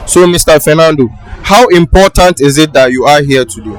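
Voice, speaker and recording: A man with a somewhat high voice puts polite questions to a guest, slightly hesitant. He is recorded outdoors. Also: fairly quick, clean tone, faint music behind. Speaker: interviewer. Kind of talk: casual street interview. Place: outdoors at a crowded palace funeral.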